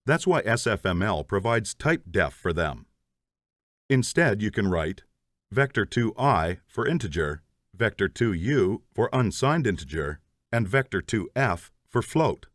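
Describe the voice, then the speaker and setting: A man's voice: on the low side, sing-song, a little slow, a bit bright, no echo. Man, methodical tutorial narration, dry close sound. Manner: methodical, explains step by step. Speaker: programming instructor.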